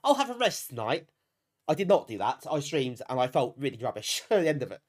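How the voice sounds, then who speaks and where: A man's voice: medium pitch, big pitch swings, a little fast. A British man with a bunged-up nose from a cold, chatting casually and self-deprecatingly to viewers as a live streamer, appreciative of them. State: bunged up, getting over a cold. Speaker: live streamer hosting a retro gaming stream.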